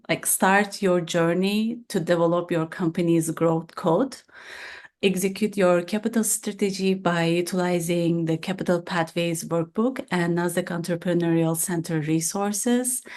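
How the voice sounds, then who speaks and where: A woman with a fairly low voice presents a webinar session. She talks with many fillers and restarts. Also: darkish tone. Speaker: program lead, co-host.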